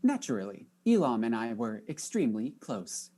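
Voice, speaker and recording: A woman's voice: a bass voice, big pitch swings, normal speed, warm tone, full character voice. A woman playing an insolent AI robot butler who speaks in formal, precise, literal-minded phrases. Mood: smug.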